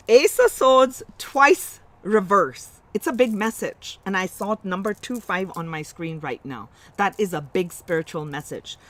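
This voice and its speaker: A woman's voice: mid-range voice, expressive, slow, dry recording. A woman with an Indian accent giving a live tarot reading, musing aloud and reassuring her listeners, often breaking off and restarting. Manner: musing, warm, reassuring, with self-interruptions. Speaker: tarot reader.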